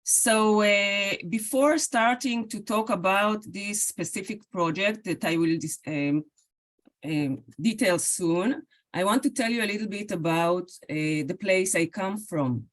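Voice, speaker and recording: Israeli woman, lecturer, video-call talk, hesitant, lots of fillers. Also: mid-range voice. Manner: halting, with many fillers and restarts.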